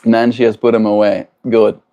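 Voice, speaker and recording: A man's voice: on the low side, level tone, brisk, dark tone. A man from South Africa hosting a livestream, talking reflectively with his viewers and often tagging his points with questions. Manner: reflective, keeps adding tag questions. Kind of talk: casual livestream chat, answering viewers.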